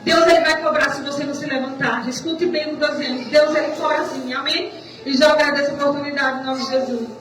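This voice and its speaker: High-pitched Brazilian woman preaching, heavy echo. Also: fairly even intonation, fast talker. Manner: earnest, repetitive, stammering delivery. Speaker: church deaconess preaching. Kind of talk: testimony sermon, exhortation. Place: church service indoors, distant microphone. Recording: echoey room, far mic, noisy.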